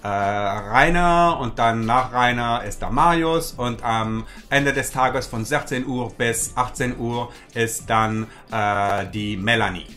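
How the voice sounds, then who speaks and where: A man in his forties hosting a livestream from a dark studio, speaking hesitant German full of fillers and restarts. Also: mid-range voice, takes it slowly, few pauses. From United States. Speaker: host.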